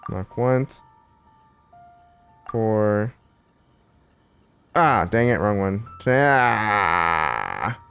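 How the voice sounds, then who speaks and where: A man's voice: lowish voice, sing-song, extremely slow, vocal fry, long pauses, fairly bright tone, clean tone, soft background music. A man giving casual let's-play commentary, muttering to himself as he plays. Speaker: gaming streamer.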